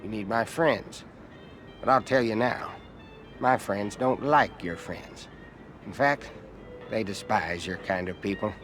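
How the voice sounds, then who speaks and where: An actor playing an arrogant, condescending American senator in a video game cutscene, blunt and haughty in manner. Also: male voice, on the low side, takes it slowly, long pauses, fairly bright tone, faint music behind. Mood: contemptuous, blunt.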